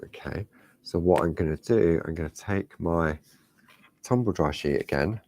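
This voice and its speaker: Deep chatty craft host. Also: male voice, normal speed, darkish tone. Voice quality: slightly croaky. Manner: chatty, talks to himself while working. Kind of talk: step-by-step craft demonstration.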